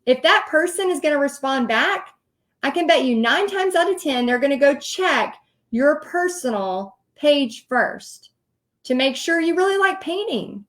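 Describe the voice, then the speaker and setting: A middle-aged woman with a Texan accent, talking straight to her viewers like a coach on a casual livestream. Her voice sits high, and she chats along directly and laughs often. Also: expressive, unhurried, fairly bright tone. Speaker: host teaching paint party business.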